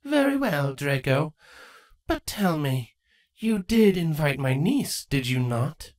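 A man's voice: fairly high pitch, sing-song, slow pace, voice pushed up. A man voicing an ancient, scheming changeling queen, smug and taunting with false sweetness, in a dramatic fan fiction reading. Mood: smug, probing.

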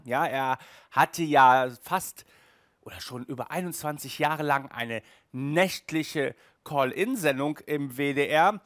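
Slow-paced man hosting a chatty review. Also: a little high, no room sound, clean studio sound. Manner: chatty, emphatic, peppered with tag words. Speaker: audio drama reviewer and host. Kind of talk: casual review talk to camera. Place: small indoor room at home. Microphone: headset.

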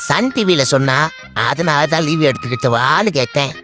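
A young cartoon animal child acted by a man, begging in a comic dub. The intonation is very lively, with music underneath. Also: fairly high pitch, brisk, lightly acted. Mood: pleading. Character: pleading young animal child wanting a day off. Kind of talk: comic dubbing of funny animal clips. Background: music bed.

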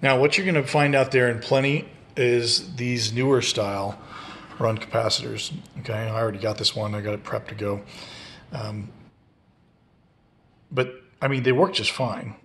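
A man's voice: medium pitch, fairly even intonation, normal speed, a bit bright, slight background noise. A man talking informally and in a laid-back way as he explains a hands-on repair for his DIY channel, recorded indoors on a handheld camera.

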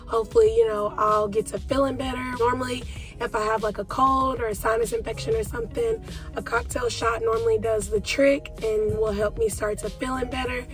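A woman's voice: on the high side, steady tone, a little fast, over music. Congested woman chatting to camera. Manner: chatty, addresses viewers as y'all. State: congested with a cold. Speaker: vlogger. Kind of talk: casual vlog chat. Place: parked car.

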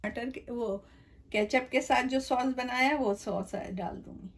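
A woman's voice: a little high, measured pace. Chatty woman explaining a home recipe. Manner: chatty step-by-step explaining, addresses viewers directly. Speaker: cooking channel host. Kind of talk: home cooking demonstration. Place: home kitchen counter.

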